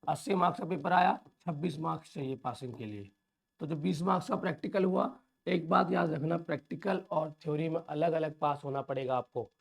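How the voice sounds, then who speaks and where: A man with a sore, hoarse throat, walking students through an exam paper and calling them children. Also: a little high, fairly quick. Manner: addresses viewers as children, reassuring. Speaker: teacher. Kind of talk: exam overview lesson.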